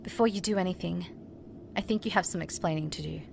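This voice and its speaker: A woman's voice: lowish voice, fast talker, gravelly, noisy background. A woman playing a sceptical, questioning adventurer's companion in a scripted audio drama. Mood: sceptical, confused.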